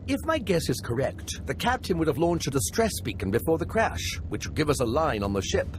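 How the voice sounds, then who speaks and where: A male actor playing an enthusiastic, scholarly military lieutenant in a video game, chatty, expressive and polite. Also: a little high, brisk, background noise. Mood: eager, musing.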